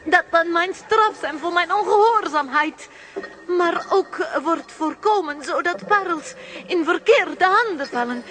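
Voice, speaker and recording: Tiny sweet creature girl, super high, plaintive, broken sentences, kids audio drama. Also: expressive, measured pace, fairly bright tone, exaggerated voice. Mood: earnest, pleading. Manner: broken third-person speech, plaintive. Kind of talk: children's radio play.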